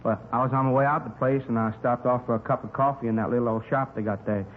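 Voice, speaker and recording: Man acting eager helpful regular joe, quick folksy talker, crackly old radio recording. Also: medium pitch, fairly even intonation, narrow, tinny audio, close to an everyday voice. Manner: folksy, ungrammatical, forthcoming. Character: plain-spoken, eager male citizen informant. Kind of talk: 1950s radio drama acting.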